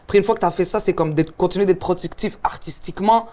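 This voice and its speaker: A woman's voice: lowish voice, mellow. A young woman from Montreal with a Quebec French accent, chatting casually and upbeat as a musician. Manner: upbeat, quick, affirming. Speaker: musician guest. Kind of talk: casual conversation.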